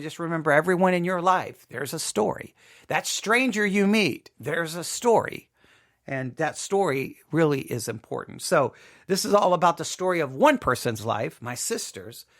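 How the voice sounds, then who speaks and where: A man with a slightly high-pitched voice gives informal personal commentary as a host. He is emphatic and often halting, circling back to repeat words and phrases for weight. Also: a bit bright. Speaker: podcast host.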